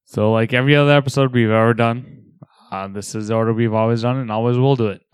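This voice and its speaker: A man co-hosting a podcast, teasing, his voice slightly raspy and cracking. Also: mid-range voice, fairly even intonation, fast, no echo. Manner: teasing, wisecracking. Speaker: podcast co-host. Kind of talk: casual podcast banter.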